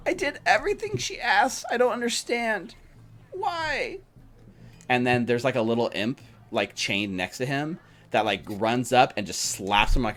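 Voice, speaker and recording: A man narrating a tabletop game as game master in a casual, improvised, rambling way. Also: on the low side. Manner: rambling, peppered with "like" and "you know".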